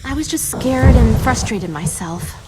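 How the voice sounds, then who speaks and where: A young woman voicing a moody, blunt high school girl in a video game, with a sarcastic, scolding manner. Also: expressive, a little slow, very noisy. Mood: apologetic. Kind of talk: English video game voice acting.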